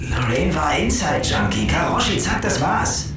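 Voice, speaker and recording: A man's voice: fairly high pitch, big pitch swings, normal speed. An actor playing a worried, exasperated male police colleague, agitated and reproachful, in a radio crime drama. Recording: very echoey, distant call with music behind.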